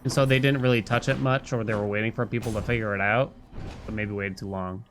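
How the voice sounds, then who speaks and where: A man's voice: fairly low pitch, fairly even intonation, quick pace, a little hiss or hum. A man livestreaming a video game, musing aloud and chatting casually with his viewers in a conversational way. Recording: game music behind the voice.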